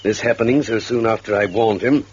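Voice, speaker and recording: An actor playing an authoritative police officer, commanding, clipped and polite, in a radio drama. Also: male voice, lowish voice, steady tone, brisk, lightly acted. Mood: brisk, businesslike. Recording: muffled radio recording, faint noise.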